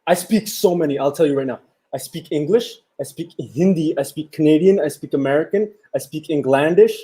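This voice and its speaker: A man with a notably high voice, speaking as an interview guest. He tells his stories playfully, jokes around and laughs through his lines. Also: a little fast, dark tone, breathy edge. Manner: playful joker, laughs while talking. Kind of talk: casual storytelling chat.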